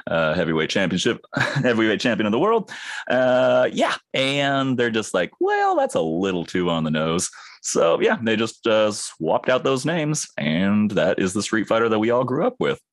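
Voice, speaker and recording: A man with a low voice that swings widely as he tells stories. He chats casually, acts out the voices of the people he quotes and laughs often. The sound is dry and close. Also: wildly swooping pitch, normal speed, no echo. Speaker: voice actor and co-host. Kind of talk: casual chat. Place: Japan.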